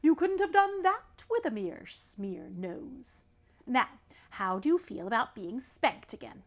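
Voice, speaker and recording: A woman voicing a pompous know-it-all male python in a storybook, speaking in long-winded, drawn-out pronouncements. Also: fairly high pitch, very animated. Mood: smug, instructing. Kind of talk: storybook read-aloud character.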